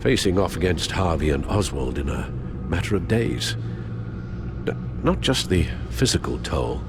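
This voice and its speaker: An actor playing a loyal older butler, formal, measured and grave, weighed down by guilt in a video game drama. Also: male voice, deep voice, expressive, background noise. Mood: gentle concern.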